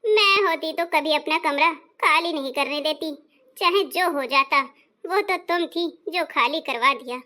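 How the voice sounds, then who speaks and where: A woman's voice: sits high, unhurried, bright, forceful tone. A woman voicing a sulky, defiant young newlywed wife in a cartoon, indignant and complaining. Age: young adult. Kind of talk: cartoon voice acting.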